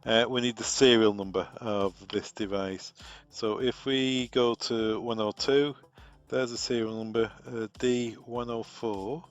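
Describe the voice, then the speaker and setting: A man with a British accent, a product trainer talking through a software configuration step by step, relaxed and thinking aloud with fillers. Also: medium pitch, a little slow, few pauses, dull audio, faint music behind.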